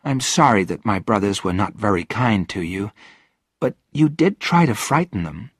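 A man's voice: lively intonation, normal speed, slightly rough, dry recording, clean studio sound. A man voicing a kind but outspoken young girl in a storybook, earnest and emphatic. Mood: earnest, reproachful. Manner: earnest, emphatic, raised pitch.

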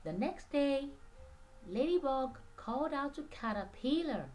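Slow, high-voiced woman storyteller. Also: a little hiss or hum. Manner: expressive, drawn-out storytelling. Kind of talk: scripted children's read-along narration.

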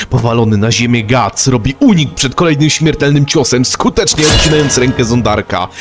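A man giving dramatic scripted narration of a manga story. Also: mid-range voice.

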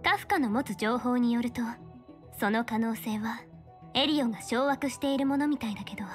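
An actress playing a stern young fortune-teller official in a game, speaking in a formal, measured, authoritative way. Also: female voice, fairly high pitch, faint music behind, natural voice. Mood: analytical, composed. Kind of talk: scripted game character dialogue in Japanese dub.

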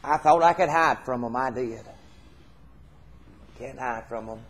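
A man's voice: mid-range voice, unhurried. A middle-aged man with a Southern US accent preaching an informal, folksy, earnest sermon, repeating phrases for emphasis. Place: church hall stage, indoors.

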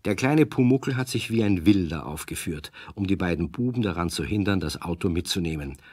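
A man narrating a children's radio play in a calm, friendly storytelling way. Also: low-pitched, brisk, a little hiss or hum.

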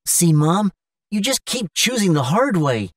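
A man's voice: sits high, big pitch swings, a little slow, dry recording, studio quality, recorded from a distance. A man playing a spoilt young gambler son in a dramatic, self-pitying cartoon style. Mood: defiant. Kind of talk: English-language cartoon acting.

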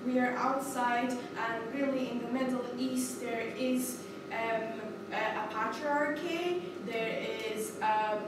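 A woman's voice: on the high side, slow pace, background noise. A young woman from Iraqi Kurdistan giving an earnest talk at a seminar panel, with many hesitations and restarts. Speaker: panel speaker. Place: large indoor hall, standing behind a panel table. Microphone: far camera. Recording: very echoey hall from far off.

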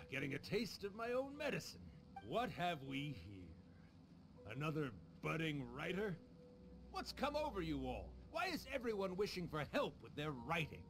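Hammy cackling spirit, slow swooping voice, game music. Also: male voice, wildly swooping pitch, gravelly, fairly bright tone, slight background noise, full character voice. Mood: bemused. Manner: theatrical, booming villain laughs. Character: mischievous spirit author, theatrical fake villain. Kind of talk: game cutscene voice acting.

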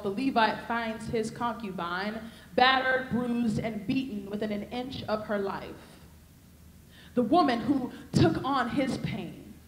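A woman's voice: medium pitch, a little hiss or hum. A young woman preaching a sermon on a convention stage into a handheld mic, with a rhythmic, repetitive cadence. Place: convention hall stage with podium. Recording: echoey hall.

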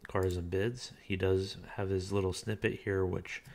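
A man's voice: low-pitched, a little slow, creaky. A man casually talking through a car auction listing, reading parts aloud with frequent filler words. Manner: relaxed, reads listing aloud with filler uhs. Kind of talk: casual screen-recorded commentary.

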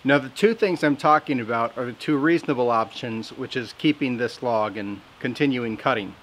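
A man talks outdoors beside his sawmill. His delivery is plainspoken and folksy as he explains his work to the camera at an ordinary, middle pitch. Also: moderate pace, fairly bright tone, slight background noise. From Southern Indiana. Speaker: sawmill owner.

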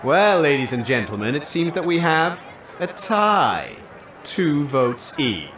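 An actor playing a dismissive talent contest judge in a comic audio drama, catty and condescending. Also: male voice, on the high side, sing-song, takes it slowly, a loud crowd. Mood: officious, dry. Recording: echoey room, slightly distant mic.

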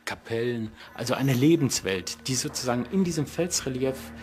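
A man explaining as an expert in a documentary interview, with measured, academic phrasing in short segments. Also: mid-range voice, animated, darkish tone.

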